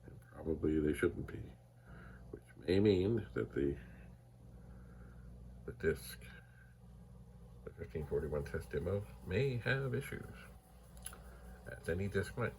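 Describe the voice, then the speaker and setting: A soft-spoken man casually thinking aloud while testing old computer gear in his home computer room, trailing into whispered asides. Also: low voice, a little slow, long pauses, a little hiss or hum. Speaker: retro computer vlogger. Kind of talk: casual rambling narration while testing hardware. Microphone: phone mic.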